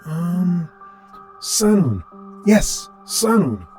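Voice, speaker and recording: High-pitched strange male wanderer, acted by a man, overly polite, eager, halting, spooky story character, music behind. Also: big pitch swings, a little mellow, heavily acted. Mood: hesitant.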